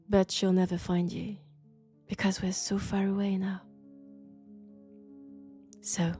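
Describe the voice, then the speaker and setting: Woman acting a sophisticated female vampire, French accent, dark mellow voice, seductive, condescending, unhurried, soft music behind. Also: mid-range voice, little pitch movement, close to an everyday voice. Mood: coaxing, menacing. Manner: slow, deliberate, seductive, condescending. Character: elegant, sophisticated, coolly curious female vampire scholar. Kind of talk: intimate roleplay audio drama monologue. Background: faint dark ambient music.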